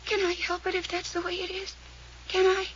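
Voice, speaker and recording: A woman's voice: very high voice, monotone, a little fast, fairly bright tone. An actress playing a neurotic, frightened young woman in an old-time radio drama, insistent and overwrought, repeating herself. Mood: overwrought, fearful. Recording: noisy vintage radio serial recording.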